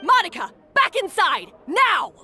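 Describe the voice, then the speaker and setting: Piercing, domineering head chef. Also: female voice, extremely high pitch, big pitch swings, a little slow, pushed and bright, faint music behind, some chatter behind, full character voice. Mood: commanding. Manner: imperious, scolding, scornful. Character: harsh, domineering female head chef, short-tempered and scornful. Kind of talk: animated video game voice acting.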